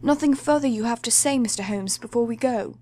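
A woman's voice: on the high side, a little fast, warm tone, no room sound, studio quality, lightly acted. A woman voicing a polite, puzzled male police detective who asks questions in a dramatic audiobook.

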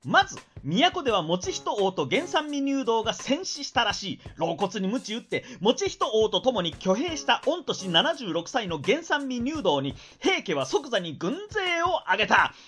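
An actor playing a boastful young ex-bandit samurai with an eloquent, rough-tongued, theatrical delivery in a radio drama. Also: male voice, very high-pitched, big pitch swings, unhurried, few pauses, sharp tone, faint music behind, heavily acted. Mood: dramatic narration. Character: boastful, glib 25-year-old ex-bandit samurai.

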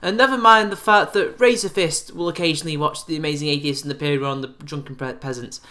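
A man's voice: a little high, quick pace, pushed and bright. A man in his twenties ranting sarcastically in a response video, recorded on a webcam in a small room. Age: 20s. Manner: sarcastic, exasperated rants. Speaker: YouTube commentator. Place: small bright room indoors.

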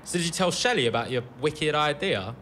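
An actor playing a brisk, businesslike young man in a naturalistic audio drama, casual and practical. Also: on the high side, expressive, a bit bright, a little hiss or hum. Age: young adult.

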